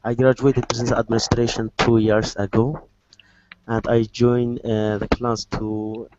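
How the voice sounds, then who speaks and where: A young man with a Kurdish Iraqi accent, an English learner introducing himself haltingly in an online class. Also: medium pitch, little pitch movement. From Kurdistan region, Iraq. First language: not English. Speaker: English student. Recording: muffled, noisy call with clicks.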